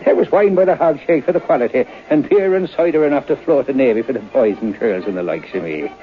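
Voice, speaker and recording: An actor playing a folksy Irish storyteller spinning an eerie tale in old-time radio drama, with a theatrical lilt. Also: male voice, mid-range voice, animated, quick pace, lightly acted. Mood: relishing. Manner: theatrical, lilting, relishing the tale. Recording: muffled old radio broadcast with music.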